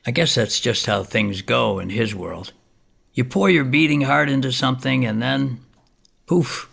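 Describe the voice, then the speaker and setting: An actor playing a wry, wistful neighbor, raspy and rambling in a confiding audio drama monologue. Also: male voice, medium pitch, a little slow, fairly bright tone, heavily acted. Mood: gossipy, musing. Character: wry, wistful neighbor man telling local gossip.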